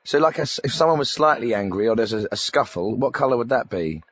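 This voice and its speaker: An actor playing an earnest, slightly clueless radio host, chatty and questioning, on a spoof phone-in. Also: male voice, medium pitch, expressive, fast, slightly rough, no room sound, studio quality. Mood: curious.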